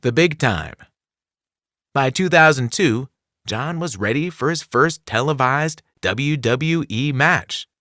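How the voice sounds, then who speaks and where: A man narrating a scripted children's non-fiction book in an expressive, clearly enunciated storytelling delivery. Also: medium pitch, slow pace, dry recording, clean studio sound. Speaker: audiobook narrator.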